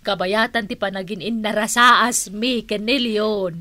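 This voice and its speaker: Playful auntie, bright forceful voice, slow pace, comic radio drama. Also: female voice, mid-range voice, fairly even intonation. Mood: teasing, lovestruck. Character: playful, lovestruck auntie, dreamy and theatrical.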